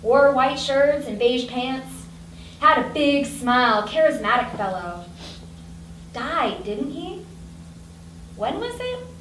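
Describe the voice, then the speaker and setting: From across an echoey room, an actress plays a wry woman delivering a stage monologue. Her voice sits fairly high, with faint noise around it. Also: big pitch swings, takes it slowly, long pauses, fairly bright tone, far from the mic, exaggerated voice. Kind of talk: staged dramatic reading, monologue. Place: live staged reading indoors.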